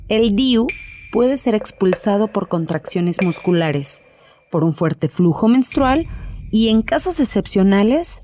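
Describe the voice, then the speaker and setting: A calm, clinical female doctor explains in a measured way. Her voice is mellow and soft-edged, over faint music in a horror audio drama. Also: medium pitch.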